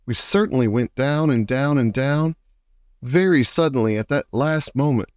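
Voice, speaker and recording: A man's voice: medium pitch, normal speed, darkish tone, clear, resonant tone, no echo, clean studio sound, lightly acted. Man as a morose, grumbling storybook earthworm. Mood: gloomy. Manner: morose, complaining. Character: gloomy, grumbling, self-pitying male earthworm.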